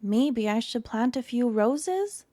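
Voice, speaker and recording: A proud little girl voiced by a woman reader speaks up in a storybook. She is earnest, plain-spoken and stubborn. Her voice is fairly high, in a dry recording. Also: expressive, slow, warm tone, no room sound. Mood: unsure. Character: proud, stubborn little girl who loves odd plants.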